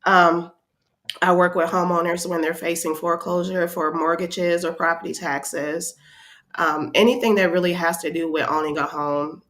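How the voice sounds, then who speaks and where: A woman's voice: sits low, a bit bright. A woman, a housing counselor who is a podcast guest, speaks with a stuffed-up nose from a cold, explaining things in a friendly way with some "um" fillers. She has an American Midwest accent. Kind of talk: casual interview talk.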